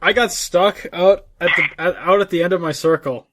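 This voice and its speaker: Casting: male gaming podcast co-host, somewhat high-pitched voice, informal conversational delivery. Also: normal speed, background noise. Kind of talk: casual chat about video games.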